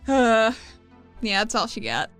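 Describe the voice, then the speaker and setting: A woman's voice: on the high side, very animated, unhurried, a bit bright, soft background music. A woman playing a tabletop roleplaying game, chatting casually at the table in a wry, sweary, informal way.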